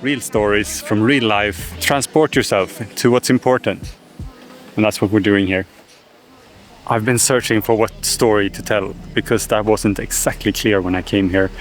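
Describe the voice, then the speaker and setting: A man's voice: lowish voice, moderate pace. A man narrating his filmmaking vlog with enthusiasm. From Sweden. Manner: enthusiastic, reflective. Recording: music playing underneath.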